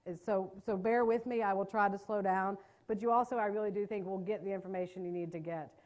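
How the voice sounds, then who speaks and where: Woman, Southern accent, middle-aged, talks quickly, jokes with the audience, mid-pitched voice. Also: steady tone, a little mellow. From North Carolina. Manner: fast-talking, chatty, self-deprecating jokes. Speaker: trauma care trainer. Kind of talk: presentation to a live audience. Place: meeting room with an audience.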